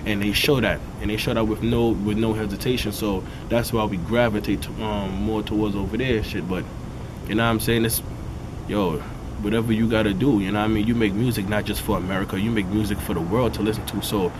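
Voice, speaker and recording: A man with a raspy, dark voice, a rapper talking casually in an interview and peppering his talk with "you know what I'm saying". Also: lowish voice, fairly even intonation, fast, background noise. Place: outdoors in a city neighborhood.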